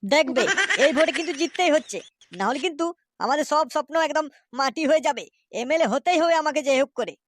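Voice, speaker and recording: A woman's voice: sits high, quick pace, dry recording, natural voice. A woman voicing a bullying, foul-mouthed Bengali village gang boss in a comedy cartoon, coarse and blustering. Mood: ambitious boasting.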